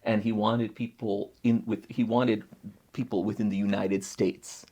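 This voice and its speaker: A man from Mexico in his thirties speaks casually as a museum curator. His voice sits lowish with a gravelly, husky grain. He tells stories thoughtfully and lively, often breaking into laughter. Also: a little slow. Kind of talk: casual conversation.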